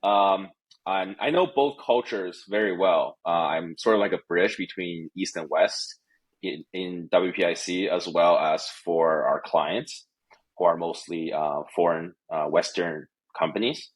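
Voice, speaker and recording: A man's voice: sits low, a little slow, husky. A man from Canada, probably in his thirties, speaking casually as an interview guest with frequent fillers and restarts. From Canada (Calgary). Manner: hesitant, many fillers and restarts. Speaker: guest, company executive. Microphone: remote recording.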